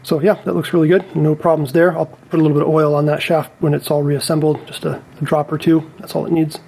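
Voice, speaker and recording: A man's voice: fairly high pitch, flat delivery, fast talker, gravelly, warm tone, slight background noise, rough recording. A man giving a casual, step-by-step repair demonstration in a methodical, careful, explanatory way. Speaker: repair technician presenting a tutorial.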